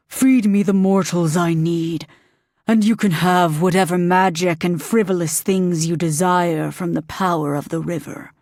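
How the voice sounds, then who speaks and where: A woman voicing a huge, vengeful male demon lord, speaking slow and menacing in a lowered voice. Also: darkish tone, no echo, clean studio sound.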